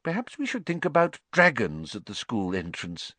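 A man acts out a pompous-sounding storybook official, older and easily flustered. The voice is pushed higher than normal, puffing and barking with indignation. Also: wildly swooping pitch, moderate pace, no echo. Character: blustering, flustered older male government official.

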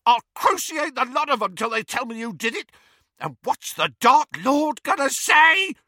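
A man voicing a brutish, hot-tempered, cowardly villain wizard who bellows in rough, ungrammatical speech, in an audiobook. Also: medium pitch, sing-song, unhurried, bright, forceful tone, dry recording, clean studio sound, pitched above the performer's own voice, heavily acted. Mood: panicked rage. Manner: bellowing, uneducated non-standard grammar.

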